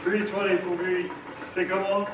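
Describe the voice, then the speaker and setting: A man playing a gruff, suspicious working man in a stage radio play, curt and brusque. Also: high voice, flat delivery, unhurried. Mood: wary. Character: gruff, hostile working man of a tough town. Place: live stage in a large echoing hall. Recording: echoey hall from far off, faint noise.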